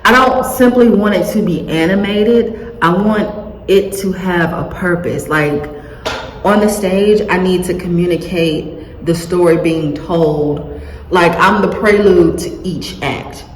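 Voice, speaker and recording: A woman chatting casually in a self-filmed vlog, rambling with many fillers, in a monotone and slightly scratchy voice. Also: fairly low pitch, takes it slowly. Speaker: vlogger. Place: indoor room, cold. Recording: echoey room with long reverb, faint ambience.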